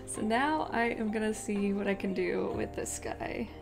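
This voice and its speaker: A woman's voice: mid-range voice, normal speed, gravelly, music in the background. A young woman hosting a casual crochet tutorial at home, chatty and upbeat, laughing often. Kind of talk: casual step-by-step craft tutorial. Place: home craft room, indoors.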